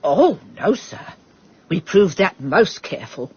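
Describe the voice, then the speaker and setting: An anxious, middle-aged household cook in a radio play. Her voice is husky and rises and falls freely. She is deferential yet chatty, and the recording is a little dull. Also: on the low side, big pitch swings, a little slow, warm tone, no echo, exaggerated voice. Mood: fretful, flustered. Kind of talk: radio drama acting.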